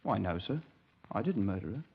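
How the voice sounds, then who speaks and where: An actor playing a naive young English scoutmaster in a 1950s radio crime drama, polite, hesitant and wordy, easily affronted. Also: male voice, lowish voice, lively intonation, brisk, creaky, husky, exaggerated voice. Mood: hesitant, deferential. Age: young adult. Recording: dull, band-limited 1950s radio recording.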